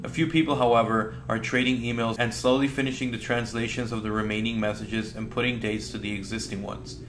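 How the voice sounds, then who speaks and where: A man's voice: medium pitch, little pitch movement, brisk, noisy background. A man narrating a scripted creepy story about a video game as a video host.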